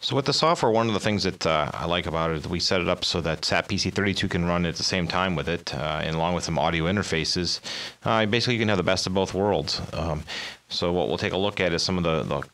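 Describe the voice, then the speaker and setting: Deep-voiced man giving a software demo. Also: fast. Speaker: amateur radio operator presenting his logging software. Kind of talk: casual software demo walkthrough.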